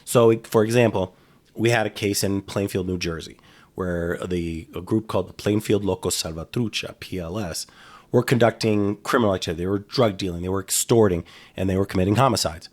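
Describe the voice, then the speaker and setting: A man's voice: low voice, brisk, darkish tone. A middle-aged man talking casually in an interview, rambling with frequent restarts and fillers. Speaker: guest, former FBI agent.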